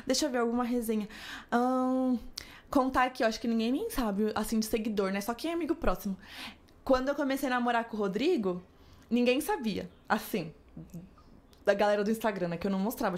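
Brazilian woman guest on a podcast, talks super fast, kinda high voice, chatty storyteller. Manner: chatty storytelling, quick asides and quoted voices. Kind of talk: casual chat.

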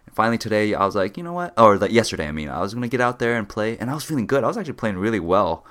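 A young man with a low voice that is a little raspy from a cold. He co-hosts a podcast, chatting in an easygoing way and laughing often. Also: big pitch swings, very fast, fairly bright tone. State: sick with a cold, slightly raspy. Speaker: podcast co-host. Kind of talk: casual chat.

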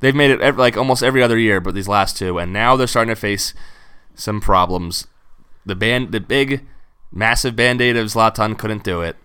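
A man co-hosting a sports podcast in casual chat, weighing things up with measured questions. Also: lowish voice, sharp tone, clear, resonant tone. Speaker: podcast co-host.

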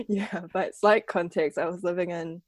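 A woman's voice: on the low side, slow, no room sound, clean studio sound. Giggly young woman from Malaysia. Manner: giggly, many "like" fillers. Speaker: podcast co-host. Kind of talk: casual chat.

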